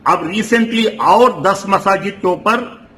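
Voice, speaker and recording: A man with a South Indian Deccani Urdu accent explaining himself in a news interview, halting and repeating his words as he insists on his point. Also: high voice, pushed and bright. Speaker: Wakf board chief executive, interviewee. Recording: noisy interview recording with ambient sound.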